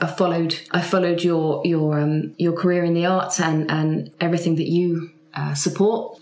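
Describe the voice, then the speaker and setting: Lowish-voiced woman in an echoey room. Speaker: player in a roleplaying podcast. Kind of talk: improvised in-character chat.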